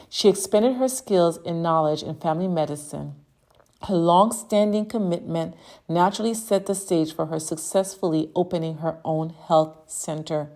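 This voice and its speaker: A woman with a fairly low voice hosts an online summit over a video call. She reads out her guest's background, then welcomes her warmly and with energy. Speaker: summit host. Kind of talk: interview introduction.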